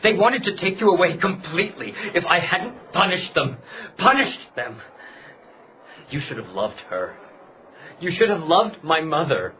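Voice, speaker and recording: A man's voice: on the high side, very animated, unhurried, highs cut off. A man playing an obsessive, resentful grown son in a radio drama, intense and aggrieved. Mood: anguished, obsessive.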